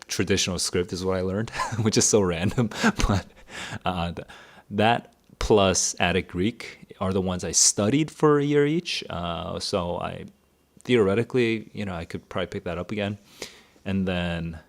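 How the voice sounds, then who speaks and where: Deep-voiced guy in his 30s, tutor rambling thru viewer questions, thinking out loud. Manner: candid, rambling, thinks aloud. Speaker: tutor and educator. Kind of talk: casual livestream Q&A.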